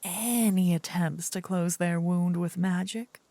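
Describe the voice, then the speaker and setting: A woman's voice: fairly low pitch, fairly even intonation, warm tone, no room sound. A woman voicing a seductive, possessive demon queen in an intimate fantasy ASMR roleplay, often whispering, with teasing, smug menace. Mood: smug, explaining. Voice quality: breathy, husky, often whispering. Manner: slow, teasing, doting menace. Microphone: close, dry microphone.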